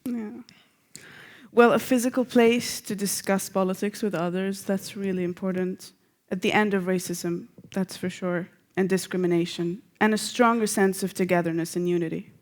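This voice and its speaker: Young woman reading a play part, defiant engaged citizen, middle pitch. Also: fairly even intonation, normal speed, a little mellow, close to an everyday voice. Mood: earnest. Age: young adult. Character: Rosa, young woman, disadvantaged but defiant, socially engaged. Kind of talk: staged reading of a short play.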